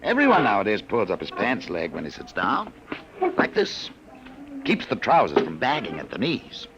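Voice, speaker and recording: A sly, animated, mock-polite hunter. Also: male voice, fairly low pitch, normal speed, heavy background noise. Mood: mock friendly. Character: sly, falsely genial stranger hunting fugitives. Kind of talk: 1950s American radio drama acting. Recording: dull old radio recording with music.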